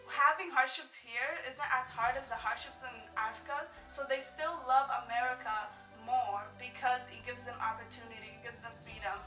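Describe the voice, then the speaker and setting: A woman's voice: on the high side, steady tone, normal speed, few pauses, far from the mic. A woman from Somalia speaking in an interview in an earnest, hopeful way. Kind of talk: personal interview. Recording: echoey room from afar, faint music.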